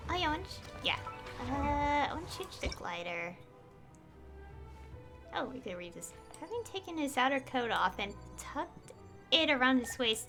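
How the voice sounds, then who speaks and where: A woman chatting casually on a live game stream, excitable and rambling to her viewers. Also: high-pitched.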